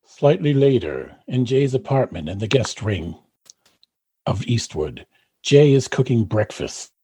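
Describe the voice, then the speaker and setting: A man's voice: mid-range voice, very animated, unhurried, warm tone, breathy edge, dry recording. A man reading scripted narration for a radio comedy.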